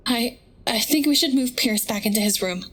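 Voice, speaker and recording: A woman playing a wary, sharp-tongued crew member in an audio drama, skeptical and matter-of-fact. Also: a little high, moderate pace.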